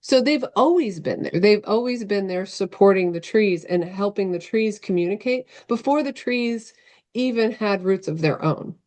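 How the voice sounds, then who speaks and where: A woman's voice: medium pitch, lively intonation, moderate pace, darkish tone. A woman speaking as a guest on a video call, explaining things in a casual, conversational style. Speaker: guest, novelist and professor.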